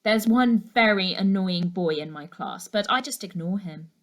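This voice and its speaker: A woman's voice: mid-range voice, moderate pace, lightly acted. A woman voicing a polite, curious eleven-year-old schoolgirl in a children's story, earnest and a little guarded. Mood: loyal, upbeat.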